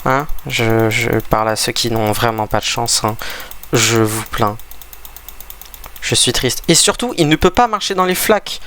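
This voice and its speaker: A man's voice: medium pitch, measured pace, a bit bright. A man with a cold and a stuffy voice, giving rambling, hesitant casual commentary over a game he is playing, often restarting his sentences. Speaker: gaming video creator.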